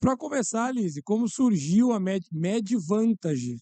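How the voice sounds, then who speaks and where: A man's voice: sits high, big pitch swings, slow, dark tone, no echo. A man hosting a podcast, opening with a theatrical welcome and joking about himself. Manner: playful, jokes about himself. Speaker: podcast host. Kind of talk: theatrical show host introduction.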